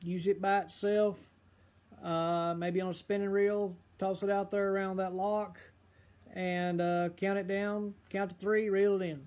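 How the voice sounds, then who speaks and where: A middle-aged man, slightly hoarse, chatting casually on a fishing livestream and giving advice with lots of uh and um. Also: sits high, little pitch movement, a little slow, a little mellow. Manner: rambling, full of uh and um fillers.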